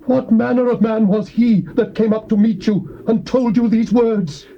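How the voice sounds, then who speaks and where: A fretful, sickly king who asks nervous questions in a high, strained voice. The old tape sounds dull and carries a clear hiss. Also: fairly even intonation, moderate pace. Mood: questioning. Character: sick, fretful evil male king. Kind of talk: dramatized scripture reading.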